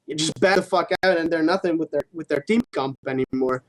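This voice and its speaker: High-voiced man in casual gaming talk. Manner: halting, repeats words, swears casually. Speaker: co-host of a gaming show.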